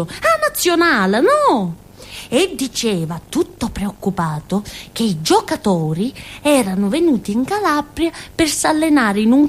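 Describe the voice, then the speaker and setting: An actress playing a naive, indignant village fool with a southern Italian accent, telling her story in a rambling, emphatic, colloquial theatrical monologue. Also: a little high. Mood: eager, confiding. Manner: childlike, rambling, emphatic, seeking agreement with tags. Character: naive, indignant village fool, a chatty Calabrian young woman.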